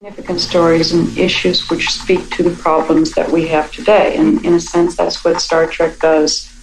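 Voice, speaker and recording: A woman speaks as a writer being interviewed, with audible fry in her voice. Her delivery is thoughtful and measured, laying out her reasoning in a reflective way. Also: on the low side, level tone, faint music behind, low-quality recording.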